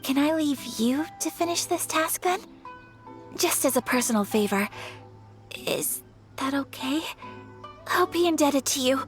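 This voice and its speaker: An actress playing a gentle, polite young noblewoman in an anime-style video game, courteous and a little hesitant. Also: high-pitched, animated, measured pace, long pauses. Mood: polite, earnest. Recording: faint game music behind.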